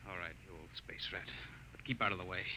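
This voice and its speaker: A man's voice: deep voice, fast, noisy background, close to an everyday voice. A man playing a strict young ship captain, curt and dismissive. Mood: gruff. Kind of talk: 1950s radio drama acting.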